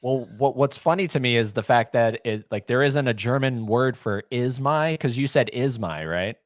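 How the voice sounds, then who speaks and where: A man's voice: medium pitch, normal speed, dry recording, studio quality. A man hosting a podcast over a video call, easygoing, laughing a lot and stammering at times. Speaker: podcast host.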